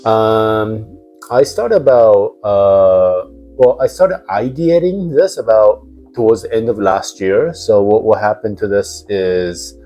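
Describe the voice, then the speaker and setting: A man's voice: lowish voice, slow pace, dark tone, soft background music. A man who grew up in Japan with Japanese as his first language, a founder talking casually in an interview with many fillers and restarts. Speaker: founder and CEO, interview guest. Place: house in Palo Alto.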